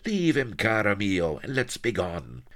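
A man voicing a spiteful villainous woman in an audiobook, hushed, gloating and sneering. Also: on the low side, very animated, a little slow, voice pushed up. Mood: gloating menace.

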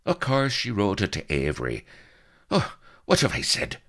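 A man's voice: deep voice, sing-song, measured pace, a bit bright, pitched above the performer's own voice, heavily acted. A man voicing a sly rogue who feigns meek, wounded innocence with theatrical, mock-humble delivery in a comic storybook. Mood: feigned remorse.

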